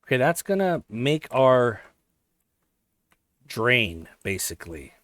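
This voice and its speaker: Guy talking through his city builder game, bit hoarse, dry close sound, correcting himself a lot. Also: mid-range voice, big pitch swings, takes it slowly, fairly bright tone, no room sound. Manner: thinking aloud, self-correcting. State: voice slightly hoarse. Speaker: let's play host. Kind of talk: casual gameplay commentary.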